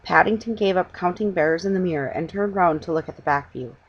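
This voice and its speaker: A middle-aged woman reading a children's story aloud at home, in a somewhat halting way. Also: on the low side, muffled recording, a little hiss or hum. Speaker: amateur storybook reader. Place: room at home, indoors.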